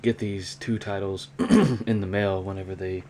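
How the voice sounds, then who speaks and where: Man, very deep voice, casual hobby vlogger, halting and rambling, noticeable background noise. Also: fairly even intonation, darkish tone. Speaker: hobby vlogger, cassette collector. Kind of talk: casual unscripted show-and-tell vlog.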